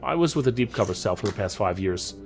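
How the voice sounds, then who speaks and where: An actor playing a self-assured, guarded counterterrorism agent in an audio drama, formal and deliberate with dramatic pauses. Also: male voice, lowish voice, expressive, soft background music. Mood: guarded, official.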